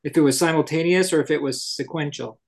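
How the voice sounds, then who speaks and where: A man's voice: fairly high pitch, quick pace, creaky. A man in a discussion group, asking careful and detailed questions. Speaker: group participant.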